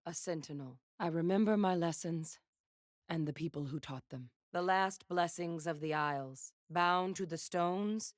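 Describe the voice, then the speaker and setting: Game role: actress as haunted female sentinel gunslinger; lowish, close and dry, commanding, wry. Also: measured pace, no room sound, natural voice. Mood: brooding, resolute. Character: resolute, haunted woman sentinel gunslinger, back from death. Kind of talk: video game character voice lines.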